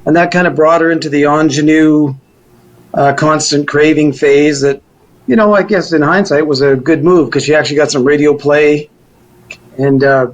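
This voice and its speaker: Older-ish guy, bit high voice, chatting loosely about old days, lots of kinda and you know. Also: steady tone, normal speed. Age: middle-aged or older. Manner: rambling, informal, hedging with "kind of" and "you know". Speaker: musician interviewee. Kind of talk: casual reminiscing interview chat.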